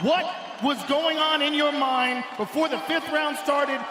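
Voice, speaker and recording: Super high-pitched guy interviewing cage side, yelling, echoey arena with noise. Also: a little slow. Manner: loud, excited, shouting over the crowd. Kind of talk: post-fight interview.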